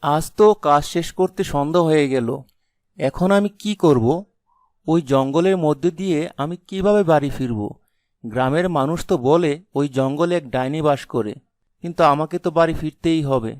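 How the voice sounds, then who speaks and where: A woman's voice: sits low, normal speed, a little mellow, no echo, studio quality, voice pushed down, natural voice. A woman voicing a kind, cautious young village man in a folk tale, earnest and polite. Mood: worried.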